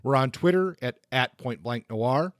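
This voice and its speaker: A man's voice: medium pitch, expressive, unhurried, no echo, clean studio sound. A man co-hosting a podcast, talking through a literary biography from notes in an informal, conversational way. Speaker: podcast co-host. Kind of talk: semi-scripted literary biography talk.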